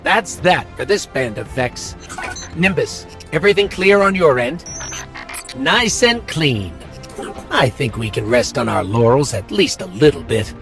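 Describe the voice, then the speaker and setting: A man's voice: mid-range voice, big pitch swings, a little slow, a bit bright, faint music behind. A man playing a confident, wry squad ally in a sci-fi video game, speaking in a colloquial way. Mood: satisfied, wry. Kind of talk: video game mission dialogue.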